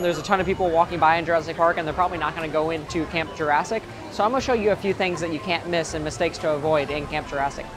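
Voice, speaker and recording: A young man in his twenties, hoarse from screaming on a ride, giving casual theme park tips to camera outdoors with lots of ums. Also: high-pitched. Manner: chatty, frequent ums and uhs. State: hoarse, voice cracking. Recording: outdoor crowd and ride noise behind.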